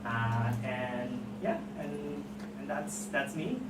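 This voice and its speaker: An event host with a halting, informal way of speaking, making announcements to open a gathering. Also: medium pitch. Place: indoor event room, recorded from afar. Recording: echoey distant room recording, loud noise.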